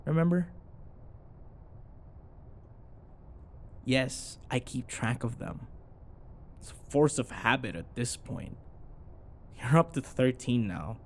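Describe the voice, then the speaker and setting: Casting a man to act: grumpy but caring male office rival for ASMR drama, gravelly, blunt, conversational; slightly noisy recording. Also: mid-range voice, big pitch swings, a little slow. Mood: teasing, exasperated. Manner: exasperated, blunt, conversational, sighing. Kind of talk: intimate ASMR boyfriend roleplay acting.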